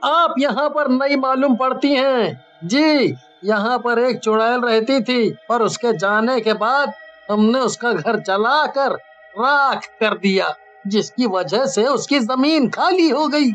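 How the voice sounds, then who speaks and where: An actor playing a plain-spoken villager in a horror story, measured and polite. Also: male voice, very high voice, a bit bright, highs cut off, full character voice. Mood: matter-of-fact. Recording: music under the voice.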